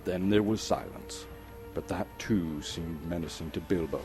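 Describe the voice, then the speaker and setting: A man giving a dramatic, suspenseful reading of a story, theatrical and full of deliberate pauses. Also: low-pitched. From Canada. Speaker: storyteller, actor reading an audiobook chapter. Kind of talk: dramatic scripted narration. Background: music under the voice.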